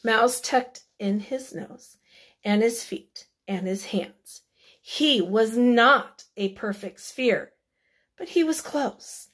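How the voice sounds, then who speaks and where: A woman reading a picture book aloud to children, expressively and deliberately, with dramatic emphasis. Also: a little high, fairly bright tone. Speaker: children's read-aloud storyteller.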